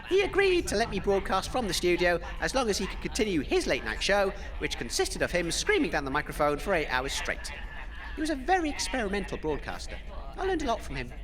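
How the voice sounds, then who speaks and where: Pompous British radio DJ, wildly swooping voice, acted by a man. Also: high voice. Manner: pompous, hesitant when challenged. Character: self-important, flustered wasteland radio DJ telling boastful anecdotes. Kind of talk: comedy audio drama acting.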